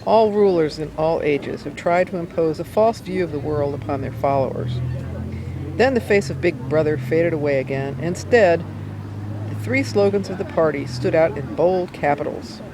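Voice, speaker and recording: Woman reading aloud amid clear street noise. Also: sits low, moderate pace. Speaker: amateur reader. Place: outdoors by a cafe in town. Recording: noisy outdoor street recording, traffic sounds. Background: urban outdoor ambience, vehicles.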